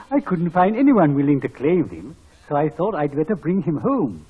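An actor playing a kindly father and master of the house in a 1940s radio drama, with a husky voice. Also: on the high side, sing-song, unhurried, full character voice. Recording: tinny narrow old radio sound.

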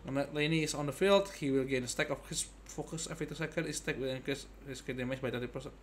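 A man's voice: mid-range voice, fast talker, soft background music. A young man giving casual, rambling gameplay commentary, often repeating his words. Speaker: game streamer. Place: indoor room, game music behind.

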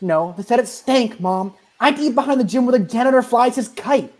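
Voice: mid-range voice, expressive, moderate pace, a little mellow, lightly acted. A young actor playing a sullen, laid-back schoolboy who loves hip-hop, in a sitcom table read over a video call. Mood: sulky. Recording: noisy home video call with clicks.

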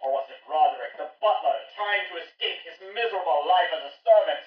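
An actor playing a dramatic old-time radio announcer, speaking in a theatrical, suspenseful way. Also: male voice, fairly high pitch, brisk, lightly acted. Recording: dull, echoey, distant radio-play sound.

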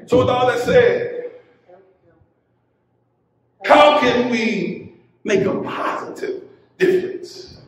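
A middle-aged man preaching a sermon from a church pulpit, building into shouted, half-sung exhortation. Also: very high voice, slow pace, long pauses. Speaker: pastor. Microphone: pulpit mic, filmed by a far camera. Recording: very echoey church from a distance.

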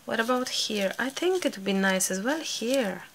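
A woman, slightly hoarse, chatting casually while she works through a crafting tutorial. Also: a little high, expressive, moderate pace, slight background noise. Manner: chatty, thinking aloud, light laughs. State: slightly hoarse from a sore throat. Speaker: craft channel host.

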